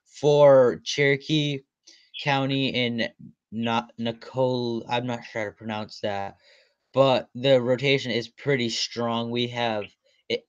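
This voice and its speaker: A man hosting a storm-chasing livestream, commenting on radar in a halting way with frequent restarts. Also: mid-range voice, no echo. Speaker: storm chaser livestream host. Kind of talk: live weather radar commentary. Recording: dull lo-fi dry audio.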